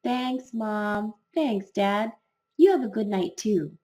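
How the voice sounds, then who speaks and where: A woman's voice: medium pitch, slow, warm tone, close to an everyday voice. A woman voicing an earnest, polite, nervous schoolboy in a children's storybook, with emphatic delivery.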